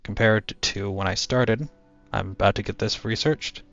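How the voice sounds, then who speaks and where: A young man streaming a flight game, chatting to his viewers in a low-key, monotone voice. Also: moderate pace, creaky. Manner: low-key, talks to chat while playing. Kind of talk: casual gaming stream chat. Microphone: cheap headset mic. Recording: dull headset mic with game music.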